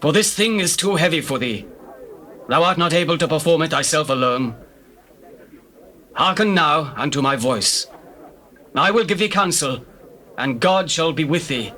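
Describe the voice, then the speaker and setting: An actor playing a wise, elderly biblical father-in-law in a solemn, measured scripture drama. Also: fairly high pitch, sharp tone. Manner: solemn, measured, counselling delivery. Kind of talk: dramatized King James scripture acting.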